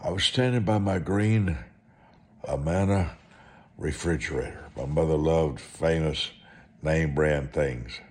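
A man preaching through personal storytelling, speaking in short, deliberate, emphatic phrases and repeating them for effect. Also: extremely low pitch. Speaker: preacher and author telling testimony. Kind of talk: storytelling sermon monologue.

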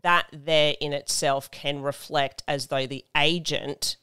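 A woman's voice: sits low, fairly even intonation, slow pace, pushed and bright, smooth, dry recording. A woman co-hosting a podcast, chatting casually and steering the talk with short agreements. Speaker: podcast co-host. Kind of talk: casual chat.

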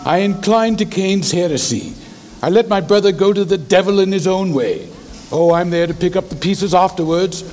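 A male actor plays a reserved, dry Victorian lawyer in period audio drama. The voice is high for a man, formal and measured, ringing in a long reverberant space. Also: a little mellow, faint music behind, natural voice. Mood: dry, aloof.

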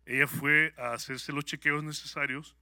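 A man's voice: fairly high pitch, flat delivery, moderate pace, gravelly, pushed and bright, dry recording, clean studio sound. A man from El Salvador with a Salvadoran Spanish accent, sharing a personal testimony with a congregation in a conversational, upbeat way, with many hesitant fillers. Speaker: guest speaker at a church service.

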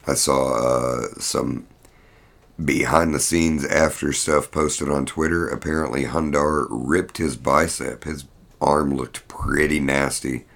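Casual male podcaster, blunt and rambling, very deep voice, unhurried delivery. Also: steady tone, creaky, a bit bright. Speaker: podcast host. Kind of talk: casual fight recap.

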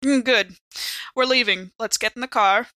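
A woman's voice: on the high side, moderate pace, bright, forceful tone, dry recording. A woman player improvising casually in and out of character, with a wry, playful manner. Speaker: player in actual-play podcast.

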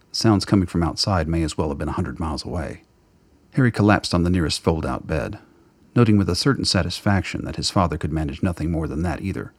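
A man's voice: low voice, slightly rough. A man narrating a radio play in a scripted, literary style.

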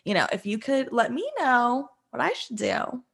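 A woman's voice: a little high, expressive, moderate pace, creaky, slightly rough, heavily acted. A woman playing an anxious, earnest young woman who seeks reassurance in improv comedy. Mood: uneasy, earnest.

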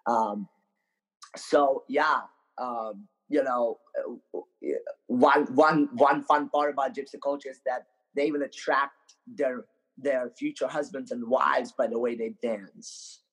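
A man with an Eastern European accent shares personal stories as a podcast guest. His pitch is mid-range, and he speaks casually, pausing often and doubling back on words. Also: steady tone, fairly bright tone, husky, poor audio. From Ukraine, born in Moldova. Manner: halting, with frequent hesitations and repeated words. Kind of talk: casual personal storytelling in an interview.

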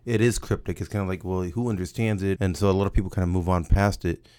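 Low-voiced earnest man, dry sound. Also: fairly even intonation, mellow, smooth, no room sound. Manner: earnest, halting, repeats words. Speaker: podcast co-host. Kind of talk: casual Bible study discussion.

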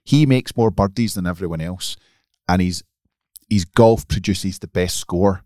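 Low-voiced guy on a golf podcast, punchy and opinionated, dry close sound. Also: measured pace, mellow, no echo. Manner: emphatic, opinionated. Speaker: podcast co-host. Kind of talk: casual golf chat.

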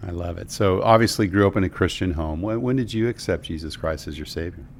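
A man's voice: deep voice, fast talker, a little hiss or hum. A man hosting an interview podcast, chatty and rambling, with frequent restarts. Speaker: podcast host.

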